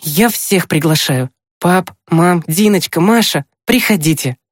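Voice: mid-range voice, lively intonation, unhurried, no room sound, studio quality, pitched above the performer's own voice. An audiobook narrator voicing an eager, boastful boy who is finishing primary school. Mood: cheerful, boastful. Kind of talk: acted audiobook dialogue.

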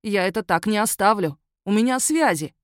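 A woman's voice: mid-range voice, lively intonation, moderate pace, clean tone, dry recording, studio quality, lightly acted. A woman voicing an indignant, whiny male werewolf under arrest, protesting and complaining in a raised voice. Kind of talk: audiobook character voice.

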